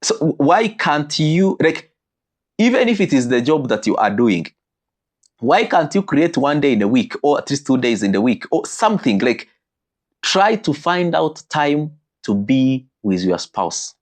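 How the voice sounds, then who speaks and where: A man giving an animated teaching talk on marriage, his voice jumping in pitch and slowing down for emphasis. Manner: animated, with sudden pitch leaps and slowed emphatic phrases.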